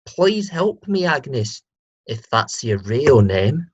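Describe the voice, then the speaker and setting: An actor playing earnest letter writers to a radio agony aunt, reading with deliberate comic timing. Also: medium pitch, very animated, breathy edge, heavily acted. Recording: dull, muffled recording.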